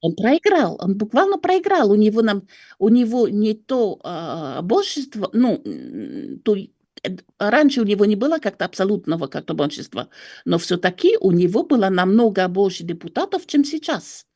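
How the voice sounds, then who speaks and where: A woman's voice: medium pitch, big pitch swings, moderate pace, darkish tone, dry recording, clean studio sound. A woman from France with a French accent gives political analysis as an interview guest, searching for words and correcting herself. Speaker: political commentator and writer.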